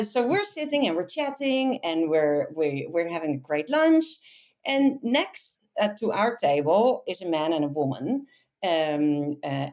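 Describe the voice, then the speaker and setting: A woman, a podcast guest telling lively, self-amused anecdotes in a casual interview. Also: mid-range voice. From Netherlands. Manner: chatty, animated, self-amused storyteller.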